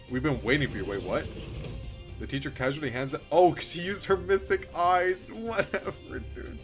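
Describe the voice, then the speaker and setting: A man streaming a game, reading its story aloud theatrically and reacting casually, with frequent swearing. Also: mid-range voice. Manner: theatrical, expressive, swears freely. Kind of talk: dramatic read-aloud mixed with casual live reactions.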